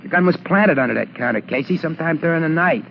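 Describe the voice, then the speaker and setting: A man's voice: on the high side, lively intonation, fast talker, vocal fry. An actor playing a worried ex-convict safecracker in a 1940s radio drama, speaking hesitantly in uneducated tough-guy slang. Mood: grim, anxious. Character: worried, fearful male ex-convict safecracker turned candy-store keeper. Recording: muffled, noisy 1940s radio broadcast.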